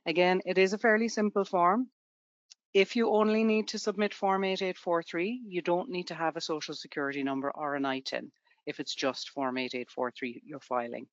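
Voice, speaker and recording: A woman's voice: on the low side, steady tone, normal speed, no echo, lo-fi sound. A woman from Ireland with an Irish accent, presenting a tax webinar to students in a conversational way with everyday examples.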